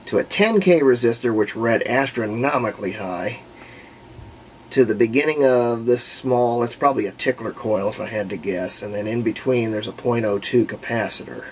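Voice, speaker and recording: Man thinking aloud, noisy recording. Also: medium pitch. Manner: halting, thinking aloud, folksy wording. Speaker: hobbyist radio restorer. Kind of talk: casual hands-on repair commentary. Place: indoor workbench. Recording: noisy handheld camera audio.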